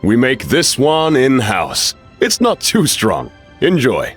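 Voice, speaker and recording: A lively, busy restaurant chef. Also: male voice, medium pitch, slow, faint music behind. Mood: obliging. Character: busy, obliging middle-aged restaurant chef, good-natured. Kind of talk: anime game voice acting.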